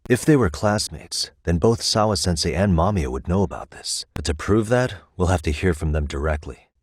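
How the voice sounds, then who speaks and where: Thoughtful male detective, deep pitch, slightly husky, analytical, earnest, conversational game dialogue, dry close recording. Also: animated, no echo. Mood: resolved. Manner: measured, thoughtful, matter-of-fact delivery. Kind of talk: English video game voice acting, conversational deduction.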